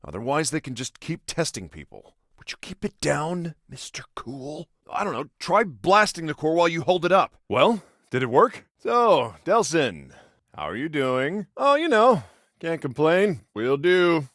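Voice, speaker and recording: A man's voice: a little high, big pitch swings, moderate pace, a bit bright, no echo, clean studio sound. A man with an American accent playing a protective, exasperated cop and older brother in a video game, earnest, bossy and wry. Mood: coaxing, exasperated.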